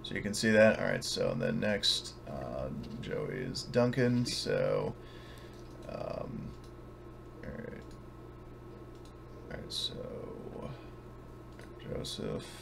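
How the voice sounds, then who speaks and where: A man with a fairly low, creaky voice acts as game master in a casual online session. He talks haltingly and hesitantly, with faint noise behind him. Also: big pitch swings. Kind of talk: casual tabletop game hosting.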